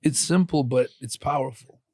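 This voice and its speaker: A man's voice: mid-range voice, moderate pace, darkish tone, dry recording. A man hosting a podcast interview, talking in a loose, encouraging way with lots of fillers and restarts. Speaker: podcast host. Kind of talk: casual interview.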